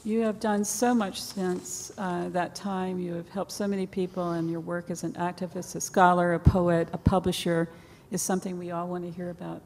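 Gentle woman interviewer in a hall. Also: fairly low pitch, little pitch movement. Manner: gentle, unhurried questioning. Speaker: interviewer, moderator. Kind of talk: oral history interview. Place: conference hall. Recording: echoey hall, faint background noise.

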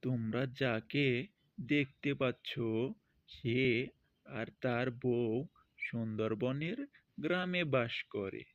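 A man's voice: mid-range voice, slow pace, pauses often, no room sound, muffled recording. A man narrating a cartoon fairy tale as a storyteller, in a scripted style.

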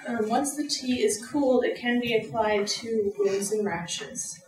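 A woman's voice: a little high, measured pace. A young woman giving a class presentation, reading earnestly from her notes with a few fillers. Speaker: student presenter. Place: lecture room with audience. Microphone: far camera. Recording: echoey room from far off.

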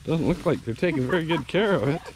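A man's voice: a little high, animated, fast talker, crowd noise, poor audio. A man casually narrating a vlog outdoors on a rural farm, in an easygoing, joking way. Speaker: vlogger. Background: faint rural outdoor ambience.

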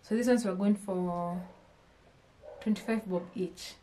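A young Kenyan-accented woman talks through a casual haul vlog in a small home kitchen. Her voice sits at a middling pitch, and she keeps turning to her viewers with questions and restarting her sentences. The camera picks her up from arm's length. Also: steady tone, unhurried, mellow, a little hiss or hum. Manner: chatty, addresses viewers with questions, often restarts phrases. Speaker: vlogger.

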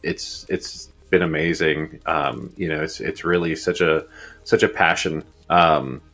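A man's voice: extremely low pitch, fairly even intonation, a little slow, sharp tone, faint music behind. A man in his fifties, a guest futurist, answering at length with many ums and restarts over a video call from a home office. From Uruguay. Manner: rambling, many fillers and restarts. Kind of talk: casual interview answers. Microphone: earbuds on video call.

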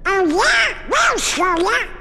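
A man voicing an excitable, feisty cartoon duck in a video game, with a squawky, garbled quacking rasp. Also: very high voice, takes it slowly, raised voice, a little hiss or hum, heavily acted. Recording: long hall reverb.

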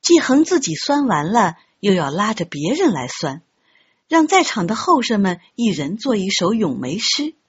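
A woman narrates a story with an expressive, scripted delivery. Her voice has a husky grain and moves in lively swings of pitch. The recording is slightly dull and lo-fi. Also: moderate pace. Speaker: audiobook narrator. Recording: dull, muffled lo-fi audio.

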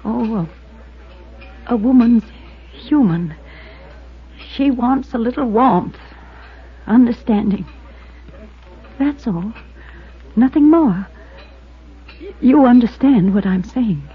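A lonely, prim farm wife in a 1950s radio serial. She speaks slowly and haltingly, with wistful, flustered emotion. The old recording sounds muffled. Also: fairly high pitch, lively intonation, slightly rough, heavily acted. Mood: pleading, hurt. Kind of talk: 1950s radio drama acting.

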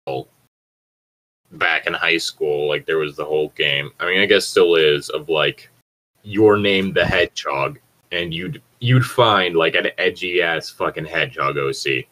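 Deep voiced guy doing a casual read-aloud, sarcastic side comments, swears a bit. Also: measured pace, sharp tone, low-quality recording. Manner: joking, sardonic asides, swearing. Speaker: co-host reader. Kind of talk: casual group read-aloud of fan fiction with joking commentary. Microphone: own mic on an online voice chat.

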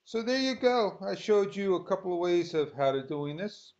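A man giving an unscripted software tutorial, thinking aloud in short, halting phrases as he works. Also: sits high, animated. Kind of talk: unscripted screen-recorded tutorial. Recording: dull lo-fi sound.

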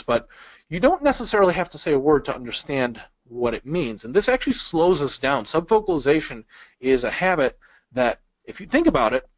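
A man's voice: medium pitch, no echo. A man in his thirties, born in Chicago and raised speaking Polish, teaching speed reading in a webinar over a webcam and asking the audience questions in a friendly way. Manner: friendly, asks the audience questions, light jokes. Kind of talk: webinar lesson with slides. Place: small indoor room. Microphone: webcam inset. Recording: dry, slightly dull sound.

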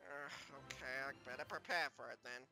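Guy doing a meek game hero in a plush toy skit, lower quiet voice, hesitant, kinda resigned. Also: very slow, gravelly, soft background music, heavily acted. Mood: resigned, placating.

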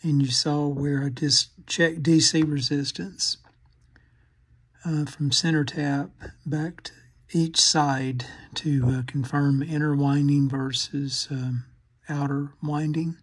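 Man with flat, husky, unhurried delivery. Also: fairly high pitch. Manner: halting, thinking aloud. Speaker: host of a radio repair channel. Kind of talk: hands-on repair demonstration narration.

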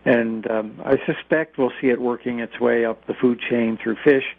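A man's voice: mid-range voice, steady tone, normal speed, vocal fry. An American man speaking as an expert guest in a radio interview, explaining things informally with stammering, hesitant starts. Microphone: telephone. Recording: tinny band-limited phone line.

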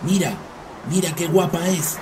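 A man's voice: high-pitched, unhurried, background noise. A man voicing a bold, crafty little Arctic hunter in a dubbed children's cartoon. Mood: urgent, coaxing. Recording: distant voice under loud music.

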